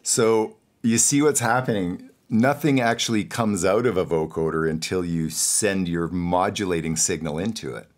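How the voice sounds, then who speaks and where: Low-voiced man giving a casual tutorial. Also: normal speed, hardly any pauses. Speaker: host and audio production instructor. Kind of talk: casual tutorial, explaining studio gear.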